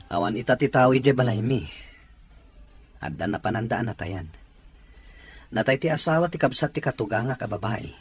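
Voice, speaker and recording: Man playing a raspy, easygoing husband. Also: mid-range voice, lively intonation, normal speed, pauses often. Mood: musing. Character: married man, easygoing drinker out with friends. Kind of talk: amateur audio drama acting. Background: faint music.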